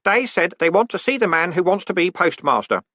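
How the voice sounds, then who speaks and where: A man's voice: high voice, expressive, a little fast, bright, forceful tone, dry recording, voice pushed up, full character voice. A man voicing a nervous, hesitant teenage boy.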